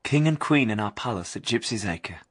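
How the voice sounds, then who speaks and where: An actor playing a brash young working-class husband in a radio drama, blunt and colloquial. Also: male voice, on the low side, expressive, normal speed, a bit bright. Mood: brooding narration. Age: young adult. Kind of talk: radio drama acting and first-person narration.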